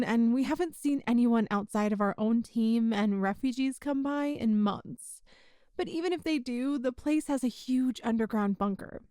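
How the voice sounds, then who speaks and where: A woman playing a kind, tough young rebel rescuer in an ASMR roleplay, with a folksy, hushed way of talking. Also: sits high, dry recording, clean studio sound. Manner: folksy, reassuring, hushed, uses "ain't".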